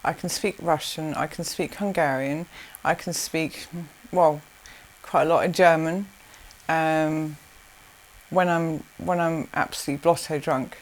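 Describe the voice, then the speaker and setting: A woman from South Africa, answering interview questions in a rambling way with many restarts and soft laughs. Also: deep voice, steady tone, a little slow, rough recording. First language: Zulu.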